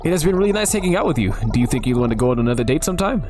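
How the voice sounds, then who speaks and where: A man voicing a calm, shy young male cyborg ninja in a dating game, speaking softly and earnestly. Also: fairly high pitch, rapid-fire, over music, close to an everyday voice.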